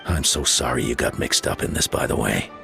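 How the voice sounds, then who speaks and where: An actor playing a calm, reassuring male mentor and leader in a video game. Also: rumbling low, brisk, gravelly, heavily acted. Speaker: game character. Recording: game audio with soft music.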